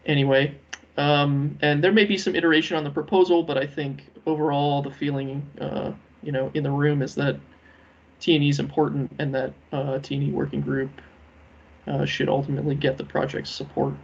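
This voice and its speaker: Gravelly, even-toned man on a dull conference call. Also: fairly high pitch. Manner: measured, hesitant, halting delivery with many ums. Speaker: working group lead presenting a proposal. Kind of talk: business presentation on a conference call.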